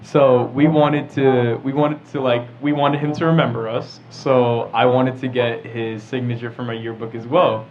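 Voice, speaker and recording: A man's voice: mid-range voice, fairly even intonation, normal speed, few pauses, darkish tone, dull audio, a little hiss or hum. A teenage boy from New York chatting casually and telling stories as a podcast co-host. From New York, United States. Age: teens. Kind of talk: casual chat, storytelling.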